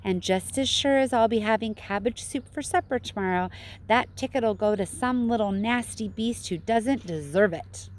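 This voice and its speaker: A woman's voice: fairly high pitch, normal speed, natural voice. Woman putting on a scornful old grandmother. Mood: disgusted. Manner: scornful, grumbling. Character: disapproving, sharp-tongued old grandmothers. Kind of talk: children's storybook read-aloud.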